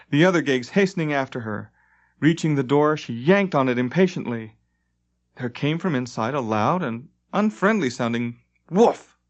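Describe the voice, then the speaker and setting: A man reading scripted fantasy narration dramatically, as an audiobook narrator. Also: mid-range voice. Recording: dull lo-fi tape transfer.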